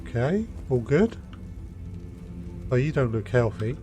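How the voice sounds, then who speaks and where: A man giving casual, low-key commentary as he streams a video game. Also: big pitch swings, unhurried. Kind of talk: casual live gameplay commentary. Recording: game music behind voice. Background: video game music and sounds.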